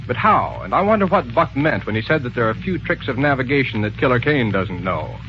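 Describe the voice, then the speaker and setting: A dull, noisy archival radio recording carries a male announcer. He narrates in scripted 1930s serial style, theatrical and building suspense, in a medium-pitched voice. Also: sing-song, fairly quick.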